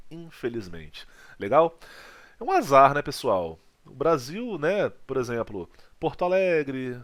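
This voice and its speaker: Male teacher from Brazil giving a video lesson, lively, keeps asking if students follow. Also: medium pitch. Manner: lively teacher, checks in with "legal?" and "tá?". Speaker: physics teacher.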